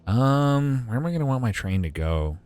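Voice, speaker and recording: A man in his twenties with a deep voice gives casual, wry live gaming commentary and talks back to his chat. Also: big pitch swings, normal speed, a little mellow, clean tone. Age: 20s. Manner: wry, joking, mild swearing, talks to chat. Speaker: game streamer.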